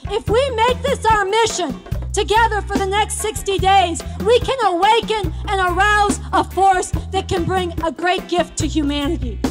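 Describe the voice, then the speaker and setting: A woman's voice: extremely high pitch, steady tone, normal speed. A woman giving a rousing, declamatory speech built on repeated refrains. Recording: music under the speech. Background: music.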